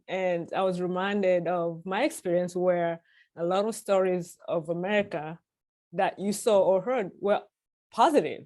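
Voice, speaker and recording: A woman from Tanzania speaks on a webinar panel over a video call, in a slightly low voice. She talks earnestly, often pausing on ums and restarting her phrases. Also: a little mellow. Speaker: church policy panelist.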